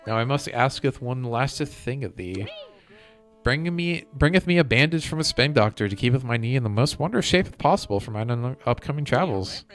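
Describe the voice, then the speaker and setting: A man's voice: medium pitch, pitched above the performer's own voice, natural voice. A man voicing a seasoned fantasy adventurer in a game with pompous, theatrical mock-medieval speech.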